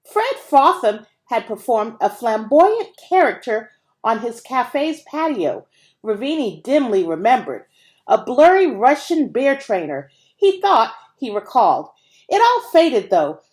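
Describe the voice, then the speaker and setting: A woman reading a children's novel aloud as scripted narration, in an expressive, dramatic manner. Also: fairly high pitch, a little slow. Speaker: audiobook reader.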